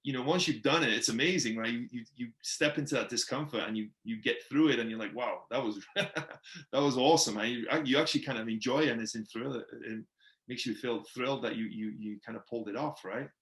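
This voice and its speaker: A man's voice: mid-range voice, a little fast, a bit bright. A man with a Welsh accent, whose first language is Welsh, hosting a podcast interview in a laid-back, chummy way and laughing often. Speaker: podcast host. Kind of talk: casual interview chat. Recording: roomy echo.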